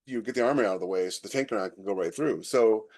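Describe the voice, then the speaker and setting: A man's voice: fairly low pitch, quick pace, a little mellow. A man co-hosting a podcast in casual conversation, thinking aloud and talking informally about technology. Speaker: podcast co-host. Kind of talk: casual conversational tech chat.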